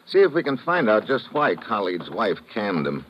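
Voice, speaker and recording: A man's voice: lowish voice, sing-song, moderate pace. A man playing a wry, hard-boiled American investigator in a 1950s radio drama, talking in clipped, laconic slang. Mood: dry, matter-of-fact. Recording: dull, noisy old radio broadcast.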